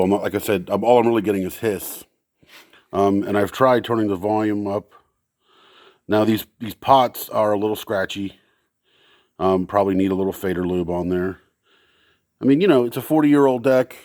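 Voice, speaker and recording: Low-voiced man casually demonstrating gear. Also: a little mellow, no room sound, clean studio sound. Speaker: presenter testing vintage audio gear. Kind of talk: casual, unscripted demonstration talk.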